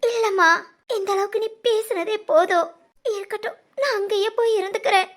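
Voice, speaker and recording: A woman's voice: very high voice, moderate pace. A woman playing a remorseful middle-aged mother in a family audio drama, tearful and apologetic. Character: remorseful, self-abasing middle-aged mother and estranged wife.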